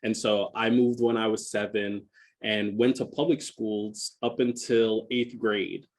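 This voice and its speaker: A man's voice: on the low side, little pitch movement, a little slow. A man in his thirties from Jamaica who grew up partly in Philadelphia, telling his story reflectively as an interview guest, sometimes repeating and correcting himself. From Jamaica, moved to Philadelphia as a child. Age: 30s. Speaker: podcast guest, school enrollment director.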